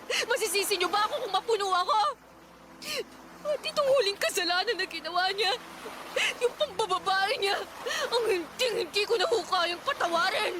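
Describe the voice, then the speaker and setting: A woman's voice: very high voice, expressive, normal speed, background noise. An actress playing a fed-up, wronged young wife and mother, fiery and defiant, in a Tagalog radio drama. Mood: hurt, angry. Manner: emotional, confrontational delivery.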